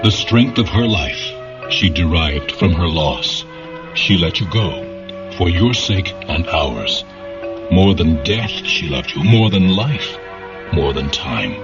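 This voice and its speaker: An actor playing a solemn attendant who consoles a dying lord, grave and reverent in a radio drama. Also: male voice, low-pitched, lively intonation, slow pace, heavily acted. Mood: solemn, consoling. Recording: dull recording under music.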